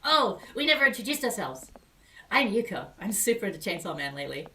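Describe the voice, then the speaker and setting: A woman voicing an upbeat, stubbornly friendly high school girl in a comic fan dub, with a blunt, breezy, casual manner. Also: very animated, fairly quick, pushed and bright.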